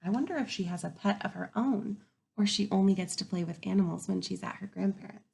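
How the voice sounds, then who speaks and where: A woman kindergarten teacher reading a picture book aloud to young children in an expressive way, pausing to wonder aloud. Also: mid-range voice, vocal fry.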